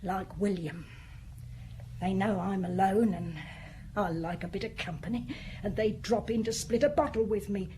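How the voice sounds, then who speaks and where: An actress playing a blunt, sociable middle-aged widow who keeps a small bar, talking plainly and colloquially in a radio play. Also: female voice, medium pitch, steady tone, moderate pace, dark tone, a little hiss or hum, close to an everyday voice, low-quality recording. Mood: chatty, hospitable. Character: fat, sociable, blunt older widowed woman bar keeper. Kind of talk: radio drama acting.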